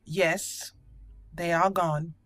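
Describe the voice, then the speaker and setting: Bright-voiced woman hosting a game playthrough. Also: lowish voice, fairly even intonation, drawn-out words, dry recording. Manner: chatty, playful asides. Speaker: gaming video host. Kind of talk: roleplay game commentary, partly reading dialogue choices aloud.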